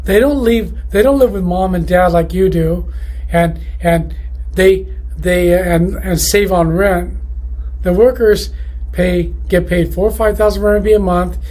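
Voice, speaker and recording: A man who runs a loudspeaker company, talking through his factory's quality control in a rambling, casual way, often restarting his sentences. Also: high-pitched, poor audio. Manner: rambling, many restarts and self-corrections. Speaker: loudspeaker manufacturer. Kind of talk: casual explanatory monologue.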